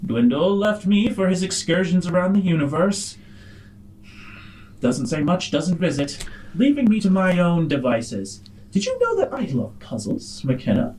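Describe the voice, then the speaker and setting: A man's voice: sits high, sing-song, unhurried, darkish tone, pitched above the performer's own voice, low-quality recording. A man voicing a playful, proud hologram AI who talks in a courtly, theatrical way and savors words in a tabletop game. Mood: lofty, wistful. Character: Paige, a playful, proud, newly independent hologram AI.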